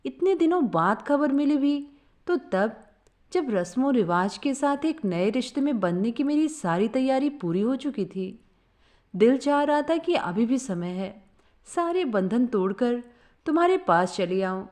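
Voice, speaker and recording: High-voiced expressive woman storyteller. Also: measured pace. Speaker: story narrator. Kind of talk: expressive first-person story reading.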